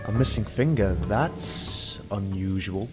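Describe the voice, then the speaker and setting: A man's voice: lowish voice, lively intonation, takes it slowly. A man playing a young, hard-nosed, suspicious police detective in an amateur radio play. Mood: brooding, suspicious. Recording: music and rain under the voice.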